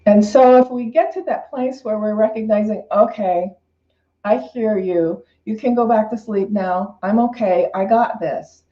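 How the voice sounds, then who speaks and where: A woman's voice: mid-range voice, measured pace. A woman minister giving an informal, unscripted spiritual talk in a reflective, conversational way, often repeating and rephrasing her phrases. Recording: roomy, slightly dull lo-fi sound.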